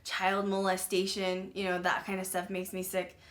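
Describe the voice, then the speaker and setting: A woman hosting a paranormal podcast, retelling a grim case in casual, rambling talk full of "like" and "um", often clearing her throat as she says she is losing her voice. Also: mid-range voice, monotone, moderate pace, a bit bright. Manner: rambling, filler-heavy, with many "like" and "um"; uneasy and apologetic about the grim subject. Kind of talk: casual true-crime retelling to viewers.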